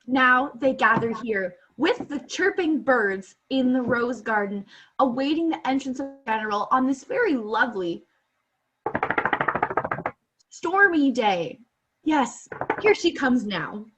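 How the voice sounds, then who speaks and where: A woman's voice: high-pitched, animated, a little slow, fairly bright tone, dull audio. A young woman playing an earnest, improvising radio field reporter in a comedic radio play. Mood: gushing, stalling. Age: young adult. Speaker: student actor. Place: small bedroom at home. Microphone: laptop on a video call.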